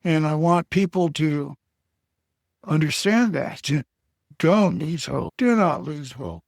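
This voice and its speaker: Slow, halting older man, breathless. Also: a little high, expressive, gravelly, no echo, clean studio sound. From Alaska. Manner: halting, with many pauses and fillers. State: short of breath, on supplemental oxygen. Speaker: guest. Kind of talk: slow reflective interview answers.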